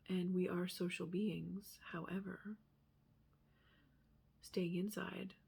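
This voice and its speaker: A woman giving a casual, rambling tarot reading in a candid, musing manner, sometimes trailing off into hushed asides. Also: lowish voice, gravelly. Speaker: tarot reader.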